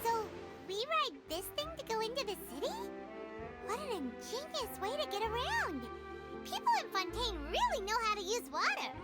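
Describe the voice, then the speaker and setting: A woman voicing a tiny, excitable fairy companion in a video game, with a squeaky, childlike, exclamatory delivery. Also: normal speed, over loud music. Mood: curious, excited. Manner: exclamatory, refers to self by name. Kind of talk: video game character acting.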